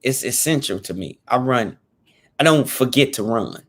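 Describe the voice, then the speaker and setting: A man in his thirties speaks to camera in an easygoing, earnest vlog style. He loops back and restates his points, leaning on informal slang. His voice sits at an ordinary middle pitch. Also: lively intonation, normal speed, a bit bright. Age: 30s. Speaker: fitness vlogger. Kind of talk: casual talk to camera.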